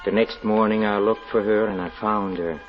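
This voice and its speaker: An actor playing an anguished, haunted fortune teller in an old radio drama, halting and brooding as he confesses. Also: lowish voice, fairly even intonation, lightly acted. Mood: tender reminiscence. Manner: halting, brooding, repeats words with dramatic hesitation. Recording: dull vintage radio recording, music underneath.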